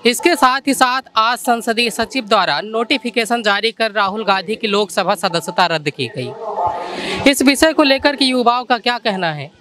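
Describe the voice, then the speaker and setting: A male reporter opening a street interview segment with a brisk, formal news delivery. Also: sits high, animated. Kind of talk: news reporter intro and questions. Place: outdoors at night. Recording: crowd noise behind.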